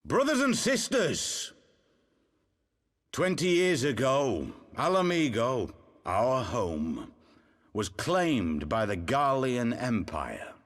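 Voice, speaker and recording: A man's voice: mid-range voice, wildly swooping pitch, takes it slowly. An actor playing an impassioned rebel leader rallying his exiled countrymen in a game cutscene, with emphatic, declamatory oratory. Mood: grave, ashamed. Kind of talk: theatrical video game oratory.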